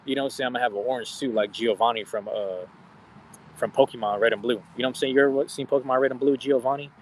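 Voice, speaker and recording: A man's voice: mid-range voice, fast, a little hiss or hum, low-quality recording. A man in his thirties chatting casually with his viewers in a slangy, profane, rambling way. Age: 30s. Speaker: streamer. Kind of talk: casual live-stream chat with viewers.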